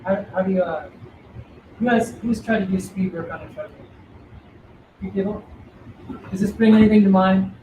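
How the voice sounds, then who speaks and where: Animated middle-aged coach in a roomy hall. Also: male voice, high voice, moderate pace, pauses often, dull audio. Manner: animated, asks the audience, jokey. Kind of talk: talking to a live audience. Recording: roomy hall, distant voice, faint noise.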